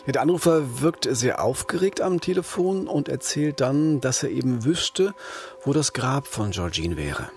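A man hosting a crime podcast as a reporter, explaining a case conversationally with measured emphasis. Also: medium pitch, lively intonation. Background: background music.

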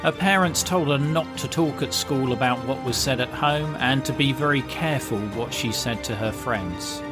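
A man hosting a podcast, reading a measured, scripted introduction. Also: medium pitch. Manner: clear, measured, promotional read. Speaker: podcast host. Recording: music bed under voice.

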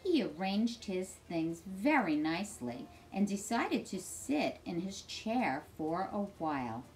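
A middle-aged woman reading a storybook aloud for children in a deliberate, expressive, clearly enunciated way. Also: mid-range voice, soft background music, poor audio.

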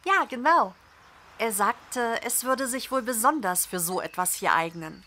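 Woman playing a high, bright, chatty podcast guest. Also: animated, a little slow, slight background noise. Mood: matter-of-fact. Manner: chatty, explanatory. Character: Steffi, friendly female podcast guest explaining a plan. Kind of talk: German audio drama acting as casual podcast chat.

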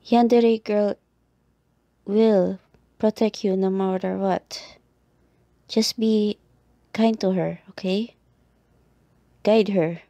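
A woman playing a reluctant, flustered tsundere girl in an ASMR roleplay, speaking haltingly in short clipped phrases. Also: medium pitch, fairly even intonation, warm tone, no echo. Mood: insistent.

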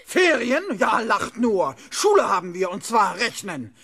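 An actor playing a stern, irritable schoolmaster who barks questions and orders in a 1970s children's radio play. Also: male voice, high-pitched, sing-song, measured pace, crowd noise, full character voice. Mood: irritated, sarcastic. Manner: exclamatory, barking questions and orders. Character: stern, irritable village schoolmaster, scolding his class.

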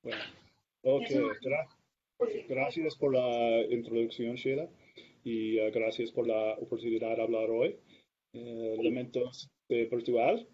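A middle-aged man whose first language is English, speaking Spanish with an American accent as a guest lecturer on a video call, slow and careful, with many hesitations. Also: mid-range voice. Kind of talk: academic talk over video call. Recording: dull lo-fi video call audio.

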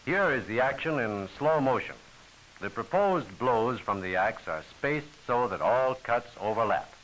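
Man, scripted training-film narrator, formal instructional phrasing, forceful bright tone, animated intonation, faint hiss of an old soundtrack. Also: medium pitch, unhurried, low-quality recording.

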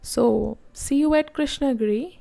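A woman's voice: high-pitched, measured pace, gravelly, warm tone, dry recording. A woman, casually narrating a road trip from inside a car and talking straight to her viewers. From India. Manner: plain, informative, addresses viewers directly. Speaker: travel vlogger.

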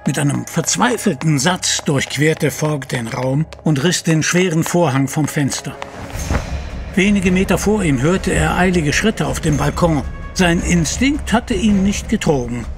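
A courtly storyteller narrating an audio drama over clear music. His voice sits somewhat high, and he speaks formally and theatrically, with great courtesy. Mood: suspenseful.

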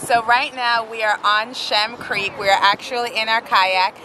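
Woman vlogger, slightly high, chatty and joking, outdoors on the water, heavy outdoor noise. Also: steady tone, a little slow. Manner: chatty, laughing, teasing family. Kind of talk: casual vlog chat. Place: outdoors on a creek in a kayak.

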